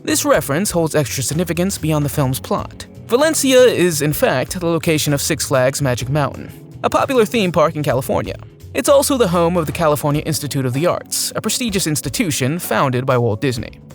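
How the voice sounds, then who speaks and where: A man narrates a scripted video in a fairly high voice with lively intonation. His delivery is clearly enunciated, like someone telling a story, and music plays behind him. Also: fairly quick. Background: background music.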